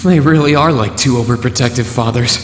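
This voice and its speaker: A man's voice: mid-range voice, fairly quick, lightly acted. An actor playing a polite, loyal and resolute young male student in a video game, speaking sincerely. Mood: musing. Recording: music behind the voice.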